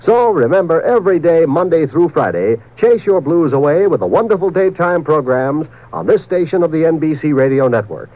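Upbeat 1950s male radio announcer, very animated. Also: a little high, fairly quick, hardly any pauses. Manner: upbeat, polished salesmanship. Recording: dull old radio broadcast recording.

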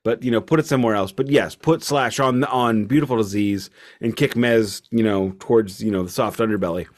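A man's voice: on the low side, brisk, darkish tone. A man co-hosting a music podcast, giving casual album reviews in an opinionated, emphatic, sometimes mock-formal way.

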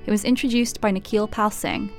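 A woman's voice: mid-range voice, fairly even intonation, fairly quick. A woman reading scripted podcast credits as the host. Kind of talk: scripted narration. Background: guitar music.

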